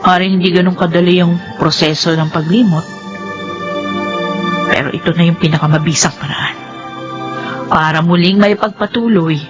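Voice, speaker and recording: An actress playing a reflective, resolute young woman in a radio drama, earnest and measured. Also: on the low side, fairly even intonation, close to an everyday voice. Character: Connie, reflective, resolute young woman narrating her life. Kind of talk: Filipino radio drama narration and dialogue. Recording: dull sound under loud music.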